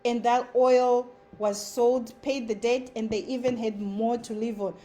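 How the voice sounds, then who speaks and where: A woman's voice: a little high, steady tone, measured pace, dark tone. A woman with a Zimbabwean accent giving an earnest motivational talk as a content creator. She drives her points home by repeating short phrases.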